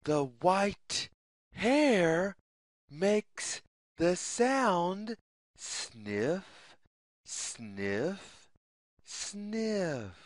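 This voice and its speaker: A woman reading a picture book aloud for young children in a deliberate, sing-song way, in short separated phrases. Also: on the low side, sing-song, no room sound, clean studio sound. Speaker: children's story reader.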